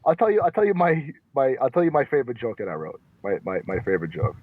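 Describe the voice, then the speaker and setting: A man's voice: medium pitch, huge pitch swings, quick pace, slight background noise. A man, a comedian guest chatting and joking, recorded in his car. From New York. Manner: joking, repeats words when starting. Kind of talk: casual chat. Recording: tinny phone-like sound.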